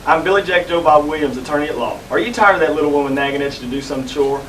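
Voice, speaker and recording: A man playing a folksy Southern divorce lawyer giving a quick huckster sales pitch in a put-on drawl. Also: a bit bright, lightly acted. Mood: salesy. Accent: American Southern (put on). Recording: distant, lightly noisy amateur recording.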